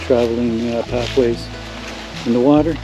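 An older man strolls through the woods and talks to his viewers in an easygoing, meandering way, stopping to point out plants and tracks. His voice sits at an ordinary middle pitch, and music plays clearly behind him while he is outdoors. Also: normal speed, low-quality recording. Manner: relaxed, rambling, pointing things out as he walks. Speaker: homesteader vlogger. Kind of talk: casual walking narration.

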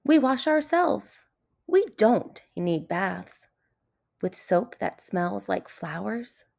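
A woman's voice: mid-range voice, big pitch swings, takes it slowly, vocal fry, darkish tone, full character voice. A woman voicing a finicky, bossy, indignant cat in a children's picture book, with an emphatic, dramatic delivery. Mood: firm, fussy.

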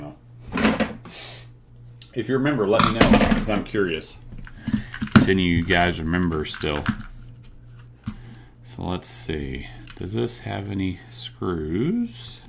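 Low, gravelly middle-aged man, casual. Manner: musing, thinking aloud. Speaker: video host. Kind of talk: casual hands-on teardown commentary. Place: indoors at a tabletop workspace. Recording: noticeable background noise.

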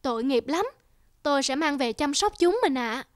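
A woman voicing a caring, stubborn cartoon mother hen who talks with expressive, pleading tenderness. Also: high voice, normal speed, dry recording, clean studio sound. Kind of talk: Vietnamese cartoon dubbing. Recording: music behind the voice. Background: music.

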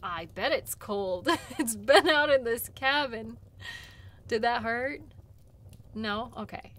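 Woman acting a slow-paced, caring sled guide. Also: a little high. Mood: gentle, careful. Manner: gentle, reassuring, conversational with pauses. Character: caring, chatty female dog-sled guide tending wounds. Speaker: audio role-play performer. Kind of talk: intimate audio role play, one-sided conversation.

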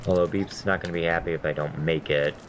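A man with a very deep, nasal voice talks through his gameplay in loose, rambling asides. He drifts between memories and grumbles at each failed jump. A steady hiss of noise sits under the recording. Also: fast. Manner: rambling, self-deprecating, swears at deaths. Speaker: gameplay commentator. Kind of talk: casual let's-play chat.

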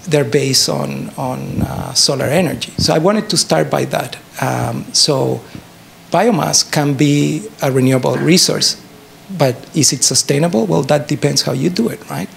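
A man's voice: fairly high pitch, a little slow, vocal fry. A middle-aged man with a Chilean accent and native Spanish, answering an audience question as a professor, emphatic and fond of rhetorical questions. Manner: animated explaining, short emphatic statements, rhetorical questions. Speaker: professor giving a talk. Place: university lecture theatre. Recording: noisy lecture hall recording.

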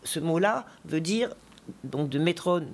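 A woman poet and teacher giving an interview, telling stories with many asides and then reading her poetry slowly and deliberately. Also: low-pitched, animated. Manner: digressive, chatty storytelling with asides; slow, deliberate poetry recitation.

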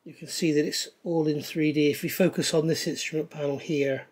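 A man's voice: on the high side, steady tone, a little fast, a little mellow. A middle-aged man casually reviewing scale model parts at his table, chatty and enthusiastic, with lots of ums and gushing praise. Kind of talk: casual hands-on product review. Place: indoors at a table.

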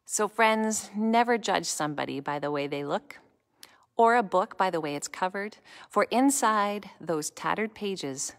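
A middle-aged woman giving a children's sermon in a large church sanctuary, speaking gently and explaining things to children. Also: medium pitch, lively intonation, measured pace. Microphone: camera in front, at close range. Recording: long church reverb.

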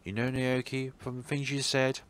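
A man's voice: mid-range voice, moderate pace, voice pushed up, close to an everyday voice. A man voicing an optimistic, caring teenage schoolboy in a visual novel, speaking earnestly. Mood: hurt, earnest. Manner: earnest, animated. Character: upbeat, caring teenage schoolboy, stubbornly optimistic best friend.

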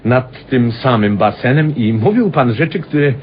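An actor plays an inquisitive, well-mannered male stranger in a radio play. His mid-pitched voice rises and falls freely as he politely probes. The old recording is dull with faint hiss. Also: expressive, measured pace, lightly acted. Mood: apologetic, curious. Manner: courteous, deferential, probing.

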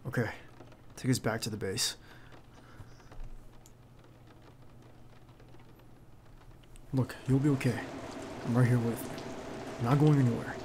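A man playing a protective, earnest bodyguard in an ASMR roleplay, speaking haltingly and insistently straight to the listener. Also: medium pitch, a little mellow, close to an everyday voice. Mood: reassuring. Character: protective, earnest young male bodyguard to a crime boss. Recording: clear background noise under the voice.

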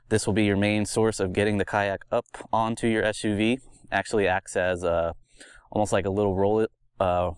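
A man's voice: on the low side, smooth, dry recording. A man presenting a fishing channel, giving plain step-by-step instructions with frequent filler sounds. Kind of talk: instructional how-to narration. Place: outdoors on a home driveway.

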